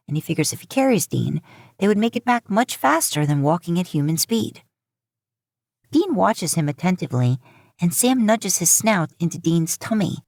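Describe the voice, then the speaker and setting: A woman's voice: lowish voice, vocal fry, a little mellow, no echo, clean studio sound. A woman reading scripted fiction aloud as an audiobook-style narrator. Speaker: podfic narrator.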